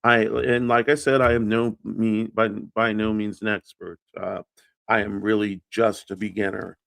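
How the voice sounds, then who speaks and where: A man hosting an early-morning livestream, talking in a halting way full of fillers and restarts, unsure of his pronunciation. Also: fairly low pitch, flat delivery, gravelly, a bit bright, no room sound. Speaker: livestream host. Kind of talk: casual livestream chat.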